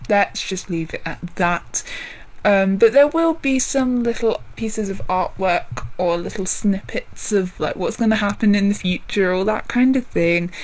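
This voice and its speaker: A woman vlogger giving a casual chatty update, deliberately speaking in a low voice, rambling with many ums and apologies. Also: poor audio. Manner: deliberately lowered voice.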